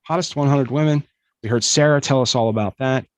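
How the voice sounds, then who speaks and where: A man's voice: mid-range voice, a little fast, dry recording. A man hosting a comedy podcast, joking and rambling with lots of fillers. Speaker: podcast host. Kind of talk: casual comedy podcast chat.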